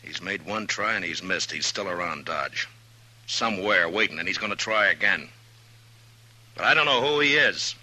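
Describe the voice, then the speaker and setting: US marshal in old radio western. American, deep and piercingly forceful, coolly menacing, goads his opponent. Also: expressive, moderate pace, exaggerated voice, poor audio. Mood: cold, firm. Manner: blunt, commanding, coolly goading. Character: tough, unhurried frontier marshal, coolly menacing.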